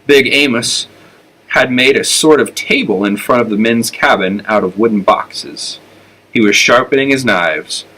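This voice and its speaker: A man reading a children's story aloud with expressive narration. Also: mid-range voice, a little slow, low-quality recording. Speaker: elementary school storyteller.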